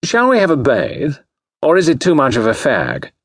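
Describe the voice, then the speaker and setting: A man puts on an idle young dandy from a storybook, raising his pitch into an affected, languid drawl. The voice is swooping and slightly husky. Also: wildly swooping pitch, normal speed, no room sound, clean studio sound, exaggerated voice.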